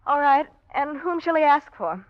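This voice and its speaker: An actress playing an anxious, tender doctor's wife with a hesitant, faltering delivery in a 1940s-style radio drama. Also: female voice, high voice, expressive, moderate pace. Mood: guarded, strained. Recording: muffled old radio broadcast recording.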